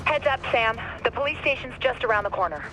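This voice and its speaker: Actress as brisk, fast-talking mission coordinator woman. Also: fairly high pitch, animated, noisy background, lightly acted. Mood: urgent, serious. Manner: businesslike, urgent briefing. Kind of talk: cinematic video game acting. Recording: action music behind.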